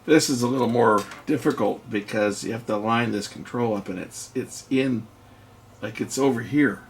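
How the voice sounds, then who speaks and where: A man's voice: mid-range voice, moderate pace, a little hiss or hum. A man giving casual hands-on narration as host of an electronics repair video, half muttering to himself as he works.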